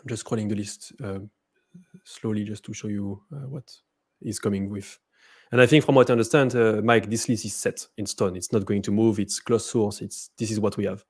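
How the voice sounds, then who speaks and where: A man with a French accent giving a live software demo, hesitant, with many fillers and restarts. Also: lowish voice, steady tone, moderate pace, slightly rough. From France. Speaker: presenter.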